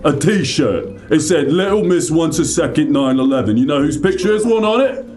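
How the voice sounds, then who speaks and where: Man doing a brash English complainer, put-on accent, combative rants, slightly raised pitch. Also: lively intonation, moderate pace, darkish tone, natural voice. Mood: ranting. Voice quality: blustering, combative, rambling rants. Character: brash angry English male caller. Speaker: caller. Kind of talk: comedic prank call.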